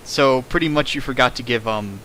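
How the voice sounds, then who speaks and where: Bright-voiced young man reading sarcastically. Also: mid-range voice, fairly quick, slight background noise, low-quality recording. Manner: sarcastic, jokey, laughs while reading. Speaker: reader and riffer of a fan fiction. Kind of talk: comedic dramatic reading with riffing.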